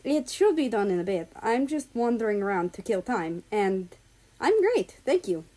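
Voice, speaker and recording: An easygoing male friend from a storybook, put on by a woman reader. He is amiable and polite, and talks in a relaxed way. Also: mid-range voice, moderate pace, rough recording. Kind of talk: acted storybook dialogue.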